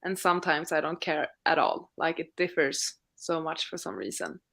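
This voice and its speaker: A woman's voice: deep voice, steady tone, moderate pace, gravelly, fairly bright tone. A woman co-hosting a live stream, talking in a casual, conversational way.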